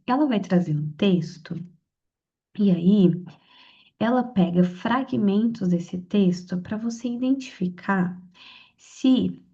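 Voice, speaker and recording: A young Brazilian woman, hoarse from a cold, chatting through her exam study notes with lots of fillers and restarts. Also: medium pitch, slow pace, dark tone, dull audio. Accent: Brazilian Portuguese. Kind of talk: casual study lesson to camera.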